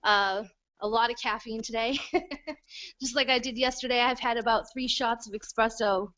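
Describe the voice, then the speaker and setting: A woman talking excitedly on a call from her phone, chatty and caffeinated. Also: on the high side, moderate pace. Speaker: guest, team leader. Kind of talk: casual chat on a video call. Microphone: iPhone. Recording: dull lo-fi phone audio on a call.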